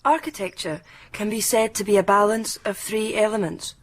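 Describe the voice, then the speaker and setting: A woman's voice: mid-range voice, fairly even intonation, normal speed, no echo, lightly acted. An actress playing a hopeful, deluded lovelorn woman in an intimate radio drama, earnest and placating. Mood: dreamy.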